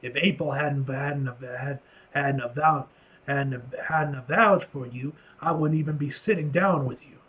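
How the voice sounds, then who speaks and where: Man acting comic-book male crook sizing up a deal, guarded, tough, husky, folksy slang, halting delivery. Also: mid-range voice, fairly bright tone. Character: wary male underworld dealmaker, tough, measured, street-smart.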